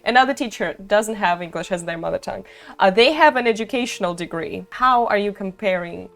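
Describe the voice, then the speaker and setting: A woman's voice: medium pitch, a little fast, creaky. A young woman hosting a casual conversation, chatty and giggly, with many "like"s. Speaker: host, English teacher.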